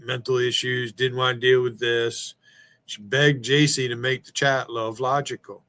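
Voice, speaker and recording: A man's voice: medium pitch, sharp tone. A man hosting a livestream, rambling in a profane, combative, off-the-cuff rant. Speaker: livestream host. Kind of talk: profane ranting chat.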